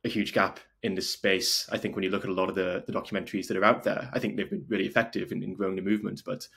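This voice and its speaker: Male interview guest from North Wales, informal and conversational, husky voice with vocal fry. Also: deep voice, little pitch movement, very fast, a bit bright. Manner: informal, frequent "like" as filler. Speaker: podcast guest, video maker and documentary filmmaker. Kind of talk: casual interview chat.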